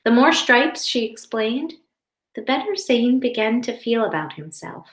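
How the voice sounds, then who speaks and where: Higher-voiced woman teaching children, roomy echo. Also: very animated, unhurried. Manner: simple, careful explaining to young children. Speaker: teacher reading a picture book to children. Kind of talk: children's read-aloud with explanatory asides. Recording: roomy, echoing indoor sound.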